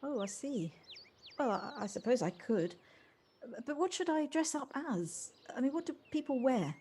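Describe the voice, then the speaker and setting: A woman plays a kindly city woman visiting a village in an audio drama. Her voice sits fairly high and she speaks politely, often faltering as she starts. It is a home recording with some background noise. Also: expressive, moderate pace, dark tone. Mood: hesitant, polite. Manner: polite, hesitant, stammering over first words. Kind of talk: audio play acting.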